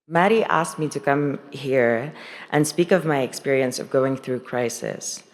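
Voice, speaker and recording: A woman's voice: low voice, a little mellow. Woman from Lebanon in a reverberant hall. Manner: earnest with dry humor. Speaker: conference speaker. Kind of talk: prepared speech. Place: large conference hall. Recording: echoey conference hall.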